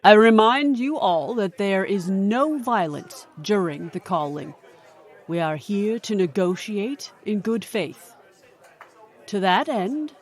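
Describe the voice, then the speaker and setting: A woman playing a regal, composed queen of a supernatural council, speaking formally in an audio drama. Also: mid-range voice. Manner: formal, measured, stately.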